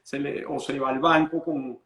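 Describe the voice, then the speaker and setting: A man's voice: mid-range voice, little pitch movement, moderate pace, a bit bright. Man explaining law in an echoey room. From Venezuela. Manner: explains patiently, many restarts and repeated words. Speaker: estate planning lawyer. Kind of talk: explanatory interview answers.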